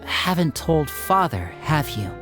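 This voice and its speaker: A sharp-minded teenage boy in a video game, with a lively, swinging pitch. He is poised, suspicious and pointed, speaking over music. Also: measured pace. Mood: stern, confronting. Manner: articulate, probing, pointed.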